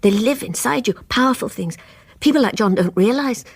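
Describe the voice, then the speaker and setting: A lonely British wife in a radio play, dreamy and troubled. Her voice is a little husky, and she confides in a wistful, sighing way. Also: on the high side, expressive, fairly quick. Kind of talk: radio drama acting.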